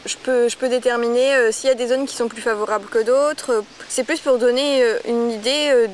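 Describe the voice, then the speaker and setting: A young woman from Normandy speaks in a slightly high voice, pausing often and restarting her sentences. The recording carries a light hiss underneath. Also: steady tone. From Normandy, France. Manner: hesitant, many fillers and restarts. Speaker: ecology student on internship. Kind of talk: filmed documentary portrait interview.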